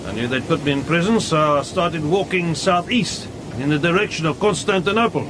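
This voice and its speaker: An actor playing a simple, loyal old hunter in a radio drama, talking plainly and earnestly. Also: male voice, on the high side, a little fast, natural voice. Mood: telling. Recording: radio drama with noise behind.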